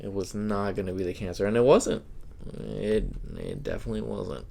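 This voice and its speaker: A young man, a podcast co-host, talking in a slow, halting and reflective way. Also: fairly low pitch, sing-song, creaky, mellow, slight background noise. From Hawaii. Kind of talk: casual podcast chat.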